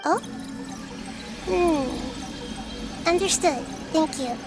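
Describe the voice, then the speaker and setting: A woman voicing a gentle, wise young girl deity in a video game, soft and girlish, speaking in a measured, thoughtful way. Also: high-pitched, over music. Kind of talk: video game character acting.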